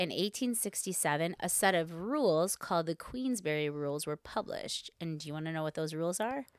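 Female podcast co-host, lower register, clean smooth tone, chatty and playful storytelling. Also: no echo, clean studio sound. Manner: informal, heavy use of "like", playful asides. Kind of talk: casual chat, retelling a story with asides.

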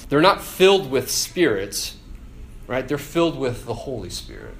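A man preaching a casual, conversational sermon, animated and full of "like" and "right?". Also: on the low side, a little hiss or hum, poor audio.

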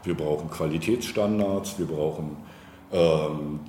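A man's voice: extremely low pitch, a little slow, dark tone. A man being interviewed as a politician, speaking conversationally, hesitantly and wordily as he searches for words. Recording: roomy, echoing, with background noise.

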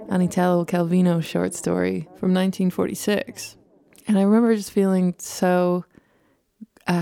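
A woman with a low, gravelly voice tells the story of how she wrote a song. Her tone is mellow and warm, and she takes her time with small restarts. The recording is close and dry. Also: dry recording. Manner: thoughtful and unhurried, with small restarts. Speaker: podcast host and songwriter. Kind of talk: reflective storytelling.

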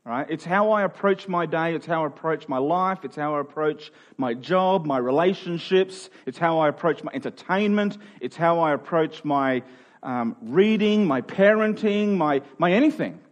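A man giving a conversational sermon as a pastor, in a halting way, rephrasing and repeating phrases for emphasis. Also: on the high side, lo-fi sound. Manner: halting, thinking aloud, with rephrasing and repetition for emphasis. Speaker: pastor, preacher.

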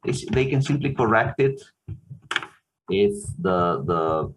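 A man with an Italian accent, a pianist and music teacher, answering interview questions thoughtfully with many pauses and restarts. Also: fairly low pitch, sing-song, slight background noise, low-quality recording. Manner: hesitant, many fillers and restarts.

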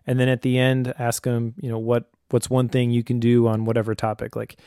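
A man's voice: medium pitch, monotone, a little fast, dark tone, clean tone, no room sound, studio quality. A man in his thirties chatting casually as a co-host and father, explaining his advice in a conversational way.

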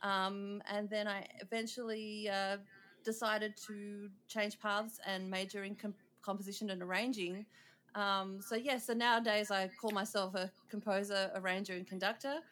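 A woman's voice: medium pitch, flat delivery, normal speed, a bit bright, a little hiss or hum. A middle-aged Australian woman, a composer and conductor, talking casually as an interview guest, with many ums and frequent laughs.

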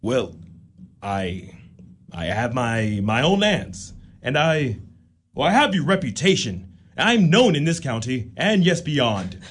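A man's voice: mid-range voice, very animated, takes it slowly, husky, heavily acted. A man playing a burly, jealous young village suitor in a radio play, boastful, blustering and mocking. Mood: boastful, indignant.

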